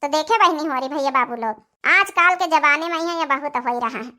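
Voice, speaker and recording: A woman hosts her channel in an Awadhi accent. Her voice is high-pitched and sharp as she lectures viewers directly on morals. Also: brisk. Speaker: channel host.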